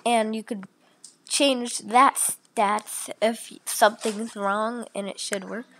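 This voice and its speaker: A woman's voice: on the high side, steady tone, slow pace, fairly bright tone. A young woman who is sick and sounds a little off, chatting casually with many fillers as she walks through a screen recording. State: sick, voice a bit off. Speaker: channel host.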